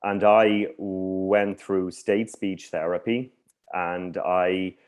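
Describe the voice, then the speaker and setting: An Irish man who stutters, hosting a workshop presentation in a measured way with slight blocks on some words. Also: deep voice, flat delivery. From Ireland. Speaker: workshop host. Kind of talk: conference presentation. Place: video call.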